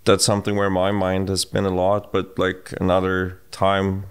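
A middle-aged man with a Swedish accent, co-hosting a podcast in casual conversation, reflective and halting with restarts, recorded on a studio mic in a home room. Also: deep voice, monotone, clean tone. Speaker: podcast co-host. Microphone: boom-mounted studio mic.

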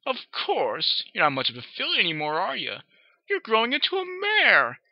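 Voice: medium pitch, sing-song, normal speed, no echo, pitched above the performer's own voice. A reader voicing a condescending mother mare pony who talks down in sugary baby talk, on a dull, dry lo-fi recording. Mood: patronizing.

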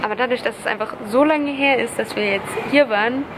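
Young woman, bright higher voice, bubbly travel vlogger at arm's-length cam, heavy background noise. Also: brisk. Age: young adult. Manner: chatty and excited, giggly. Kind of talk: casual travel vlog. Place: airports, plane cabin and street. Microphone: camera held at arm's length.

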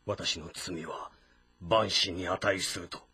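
An actor playing a guilt-ridden, formal military strategist in a dramatic game, restrained and solemn. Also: male voice, low voice, steady tone, slow, crowd noise. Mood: grave, despairing. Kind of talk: Japanese audio drama game acting. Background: music.

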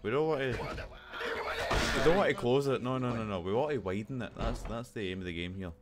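Self-mocking young man streaming a game. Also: medium pitch. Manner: self-mocking, chatty, laughs often. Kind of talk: casual live commentary over gameplay. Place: home room. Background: game music.

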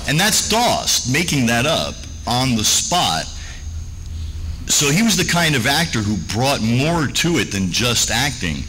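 A man's voice: mid-range voice, sharp tone. A man presenting an informal, anecdotal slide-show lecture to a room, speaking as a presenter and biographer. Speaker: presenter, biographer of a cartoon voice actor. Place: hotel function room with projector screen. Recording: noisy room recording with ambience.

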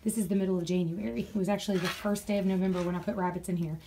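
A woman's voice: lowish voice, steady tone, rapid-fire, dark tone. Chatty woman vlogging chores. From Tennessee, USA. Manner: chatty, rambling, laughs often. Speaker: homestead vlogger. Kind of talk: casual chat while doing farm chores. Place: outdoors on a farm and in a barn. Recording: noisy outdoor phone recording.

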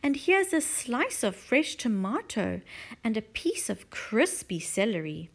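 A woman voicing a sweet, kindly lady who dotes on her pet tortoise, speaking in a high, fluttery, pleading way. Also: big pitch swings, unhurried, creaky, heavily acted. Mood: doting, pleading.

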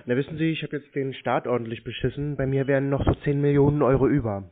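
A man chatting casually and deadpan on a prank call, contrary and teasing. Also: mid-range voice, quick pace, a little hiss or hum. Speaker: scambaiting prankster taking scam calls. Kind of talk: casual prank phone conversation. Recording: tinny narrow-band phone line.

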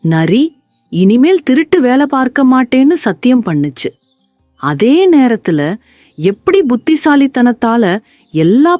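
A woman narrating a scripted children's story in an expressive, deliberate way. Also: a little high, no echo, studio quality.

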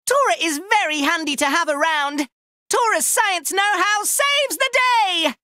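A man voicing a small, excitable, proud furry inventor creature for a video game, squeaky and cartoonish, talking in childlike broken grammar and in the third person. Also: unhurried, dry recording, clean studio sound, exaggerated voice. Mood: excitable, chatty. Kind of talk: video game character voice lines.

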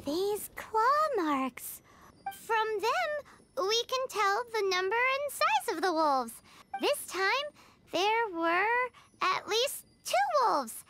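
A woman playing a tiny, childlike, cheeky fairy companion with a squeaky voice in a video game. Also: very animated, drawn-out words, noisy background, exaggerated voice. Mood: earnest, matter-of-fact. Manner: speaks of self in third person. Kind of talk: video game character acting.

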